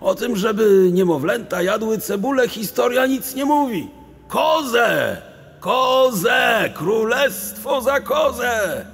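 An actor playing a kindly, despairing old sage in an audio drama, with a theatrical, grandiloquent and emotional manner. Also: male voice, very high voice, lively intonation, slow, fairly bright tone, husky, exaggerated voice. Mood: desperate crying out. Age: older. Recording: long reverb, faint background noise.

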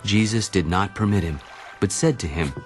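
A man narrating a dramatized audio Bible in a solemn, deliberate storytelling manner. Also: fairly low pitch, a little mellow, soft background music.

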